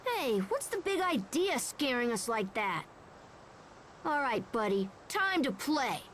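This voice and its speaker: High-pitched plucky young boy hero. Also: very animated, slow pace, fairly bright tone, slight background noise, full character voice. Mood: defiant. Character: brave, protective, cocky young boy hero. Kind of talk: video game voice acting.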